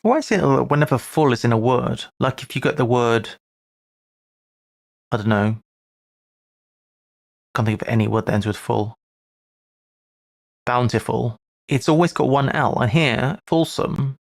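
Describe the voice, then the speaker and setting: A man streaming casually, thinking aloud in short, halting fragments as he works through puzzles. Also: mid-range voice, gravelly. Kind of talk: casual live-stream chat while solving crosswords.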